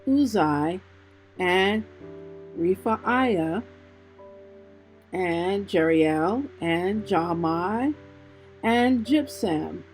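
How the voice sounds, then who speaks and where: A woman's voice: on the low side, lively intonation, very slow, long pauses, poor audio. A woman hosting a Bible reading livestream, speaking to listeners in a friendly way, both chatting and reading aloud. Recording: music playing behind the voice. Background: background music.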